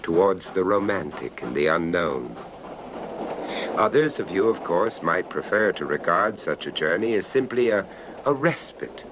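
An actor playing a reserved, urbane traveler who narrates a radio suspense tale in a measured, polite, literary manner. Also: male voice. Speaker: narrator and character. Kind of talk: old-time radio horror narration and acting. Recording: noisy radio recording, train rumble.

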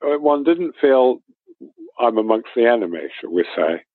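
A man in his sixties, an interview guest recalling a trip in a measured, dryly understated way. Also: medium pitch, lively intonation, no room sound. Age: 60s. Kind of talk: recalling a school trip in conversation. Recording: tinny phone-line sound.

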